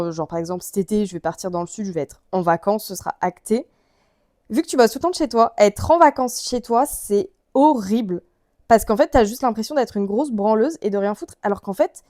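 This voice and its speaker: A young woman talks to her vlog viewers in a fairly high voice. She rambles casually and uses plenty of slang, and the sound is dry with little room echo. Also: darkish tone. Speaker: vlogger.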